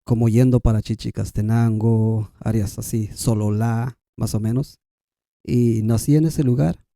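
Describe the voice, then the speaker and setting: A Guatemalan man with K'iche' as his mother tongue tells his own story in a conversational way. His voice is very soft-edged and dark, at an average male pitch. The recording is dry and close. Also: fairly even intonation, a little slow, creaky, dry recording. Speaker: podcast guest.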